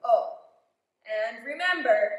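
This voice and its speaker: A woman's voice: a little high, animated, takes it slowly, lo-fi sound. Woman as a male bus driver, storybook, friendly, emphatic, instructing, very echoey room. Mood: brisk, instructing. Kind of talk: children's picture-book read-aloud. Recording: echoey classroom.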